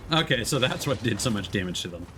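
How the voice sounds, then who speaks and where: A man's voice: mid-range voice, very animated, brisk, background noise. A man giving casual, off-the-cuff live commentary as he streams a video game.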